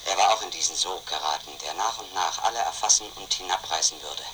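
An obsessed, brooding man tells his story in a radio drama. The voice is lowish and very forceful, intense and self-questioning, over faint noise. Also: fast talker. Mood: brooding, agitated. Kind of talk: first-person radio play narration.

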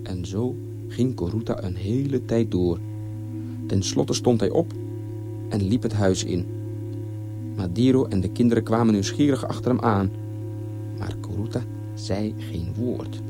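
A man's voice: lowish voice, a little slow, gravelly, long pauses, music in the background. A man telling a story as a narrator, reading scripted narration.

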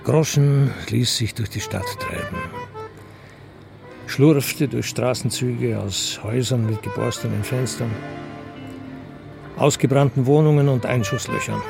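Male narrator, radio crime play: sober, gravelly, mid-range, over music. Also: mid-range voice, unhurried, long pauses. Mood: measured.

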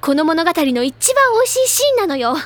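A woman playing an excitable, self-assured high-school literature-club girl in an audio drama, speaking in a dramatic, lecturing way. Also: fairly quick, no room sound. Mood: smug, lecturing. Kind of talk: anime-style audio drama acting.

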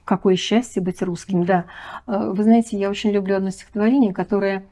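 A woman's voice: medium pitch, steady tone, brisk, warm tone. A woman on a radio discussion who presents a student project, speaking in a hesitant, thoughtful way with many fillers. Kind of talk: radio discussion, reading and retelling texts.

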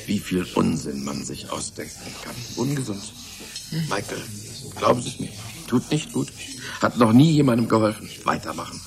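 A smug, chatty scientist of stubborn optimism in a radio drama. His voice is lowish and gravelly, with a lively melody. He muses and rambles over busy background noise of voices. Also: normal speed. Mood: musing, dismissive. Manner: musing, rambling, clubby. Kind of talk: German radio drama acting.

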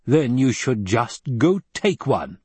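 A man's voice: on the low side, sing-song, slow pace, slightly rough, dry recording, full character voice. A man voicing a weary, bitter military commander in an audiobook, terse, sour and guarded. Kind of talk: audiobook character dialogue.

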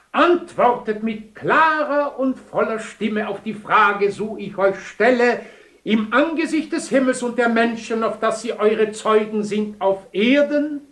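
An actor playing a stern priest at a wedding, declaiming in a ringing, ceremonial way. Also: male voice, high-pitched, fairly bright tone. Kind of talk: radio drama, liturgical declamation. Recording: echoing church-like acoustic.

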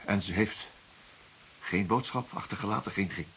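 A man's voice: on the low side, moderate pace, a bit bright, a little hiss or hum. An actor playing a wealthy, vain 55-year-old widower in a radio drama, defensive and indignant, stammering and repeating his words. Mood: shaken, self-reproachful. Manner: stammering, repeating words, blustering when challenged. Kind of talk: Dutch radio drama dialogue.

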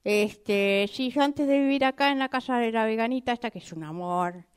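A woman's voice: a little high, moderate pace, clear, resonant tone, lightly acted. A woman with an Argentine accent voicing a chatty, sentimental mother cockroach in improvised comic radio theatre, repeating herself coyly. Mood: plaintive. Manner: repetitive, coy, hesitant, conversational asides.

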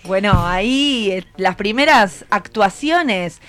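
A woman's voice: mid-range voice, lively intonation, slow, fairly bright tone, soft background music. An Argentine woman hosting a radio show, interviewing students conversationally. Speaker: radio host.

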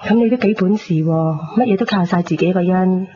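A woman's voice: on the low side, quick pace, mellow, slight background noise, lightly acted. A woman with a Hong Kong Cantonese accent playing a caring, fussing middle-aged mother in a radio drama. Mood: kindly, chatty. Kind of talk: 1990s radio drama acting. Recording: dull lo-fi radio recording under music.

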